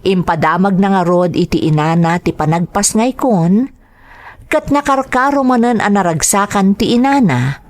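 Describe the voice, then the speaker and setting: A woman's voice: mid-range voice, animated, moderate pace, darkish tone, lightly acted. An actress playing an earnest single mother in an Ilocano radio drama, with an Ilocano accent and an emotive, heartfelt delivery. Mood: reflective narrating. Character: Minda, earnest Filipino single mother in love, prone to worry.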